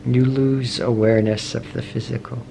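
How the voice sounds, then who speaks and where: A man leading a guided meditation in a gentle, measured way, pausing often between short phrases. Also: medium pitch, little pitch movement, creaky, low-quality recording. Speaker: meditation teacher. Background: soft meditation music.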